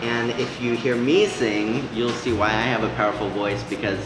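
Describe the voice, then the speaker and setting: A man's voice: lowish voice, normal speed. An American man hosting a casual interview, friendly and jokingly self-mocking. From United States. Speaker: host. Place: deli room. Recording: echoey room with music. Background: music.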